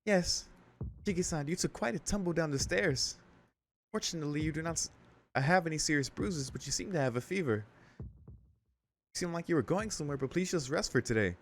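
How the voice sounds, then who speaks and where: A man voicing a polite, insistent young woman caretaker from a visual novel, in a soft lifted voice with careful phrasing. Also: on the high side, expressive, fairly quick, long pauses, a little hiss or hum, pitched above the performer's own voice. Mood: polite, concerned.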